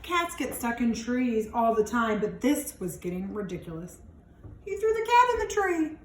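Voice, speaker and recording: A woman reads a picture book aloud to children in an animated way, stretching words for emphasis and adding chatty, wondering asides. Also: on the high side, moderate pace. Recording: echoey room with some background noise.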